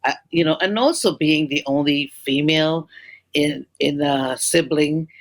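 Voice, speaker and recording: A woman's voice: sits low, a little slow. A woman from Guyana, a school leader, talking as a guest in an interview and often repeating words while she thinks. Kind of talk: interview conversation.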